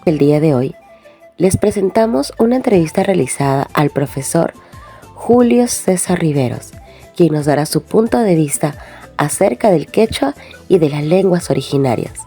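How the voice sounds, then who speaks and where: A woman's voice: low-pitched, animated, moderate pace, warm tone, faint music behind. A woman presenter reading a short scripted introduction to the interview. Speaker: channel presenter.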